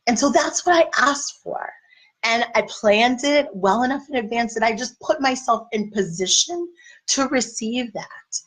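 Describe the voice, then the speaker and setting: A woman in her thirties speaks to her viewers as a life and marriage coach. Her voice is a little raspy as she recovers. She talks in a relaxed, conversational, encouraging way. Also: on the high side, measured pace, low-quality recording. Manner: conversational, encouraging, faith-based. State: slightly raspy voice. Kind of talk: casual motivational talk.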